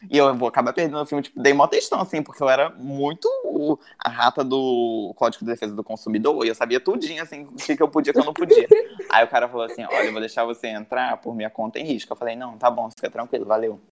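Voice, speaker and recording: A man's voice: mid-range voice, lively intonation, quick pace. A man from Brazil hosting a podcast, telling stories in a casual chat full of fillers. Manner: storytelling, many fillers like "tipo" and "assim". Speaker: podcast host. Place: living in Portugal.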